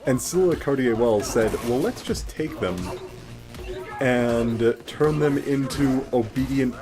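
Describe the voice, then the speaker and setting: A man hosts a gameplay video in a casual, explanatory manner. His voice has a middling pitch, and game music plays clearly behind it. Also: slow pace. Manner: explanatory, conversational. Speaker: gaming video host.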